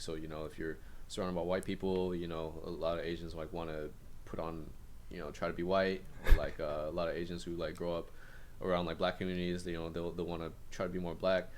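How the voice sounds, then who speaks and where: Young male artist from San Jose, very low voice, thoughtful and measured, faint hiss. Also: little pitch movement. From San Jose, California. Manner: thoughtful and measured, full of "like" and "you know". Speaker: guest, hip-hop artist. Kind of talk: casual conversation.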